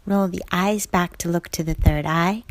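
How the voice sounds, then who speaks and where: A woman leading a guided yoga class, giving calm, measured cues in short phrases, with a soft voice that turns breathy at phrase ends. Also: lowish voice, dry recording. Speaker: yoga instructor.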